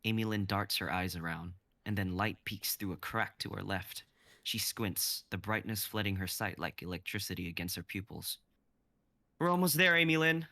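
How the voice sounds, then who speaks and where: Deep, bright-toned young man narrating. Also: normal speed, creaky, no echo. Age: 20s. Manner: steady descriptive narration. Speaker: narrator and reader. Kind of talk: dramatic script reading.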